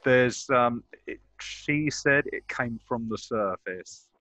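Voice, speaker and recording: A man's voice: mid-range voice, slow pace, sharp tone, no room sound. A man speaking casually and in character as a player in an online tabletop roleplaying game. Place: indoors at home on a group video call.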